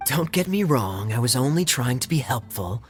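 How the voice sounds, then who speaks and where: A man playing a smooth-talking sly charmer. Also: medium pitch, very animated, moderate pace, faint music behind, lightly acted. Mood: teasing. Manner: smooth, teasing, playful. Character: suave teasing young man, sly charmer. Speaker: tavern customer. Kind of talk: game cutscene voice acting.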